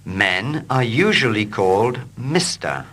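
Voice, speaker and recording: A man reading short English dialogues slowly and clearly for learners, line by line. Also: medium pitch. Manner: slow, clear and expressive. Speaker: English teacher. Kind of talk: slow scripted reading for learners.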